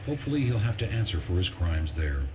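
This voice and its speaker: An actor playing a weary, grieving army major who solemnly delivers a measured farewell letter in a military video game. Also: male voice, low-pitched, very animated, background noise. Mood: solemn, formal. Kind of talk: dramatic scripted monologue in a military video game. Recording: music under the voice.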